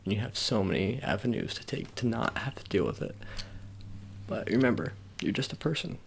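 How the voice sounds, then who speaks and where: A man doing an improvised ASMR roleplay as a sports agent, talking in halting, repetitive, murmured reassurances. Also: on the low side, big pitch swings, creaky, slight background noise. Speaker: ASMR performer.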